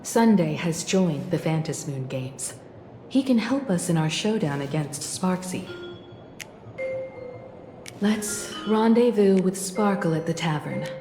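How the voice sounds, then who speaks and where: A calm former scrapper in a story-driven video game. She speaks slowly and reflectively in a lowish voice, choosing her words with care. Prominent music plays behind her. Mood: composed, purposeful. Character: calm, nostalgic adult woman, former scrappy student martial artist.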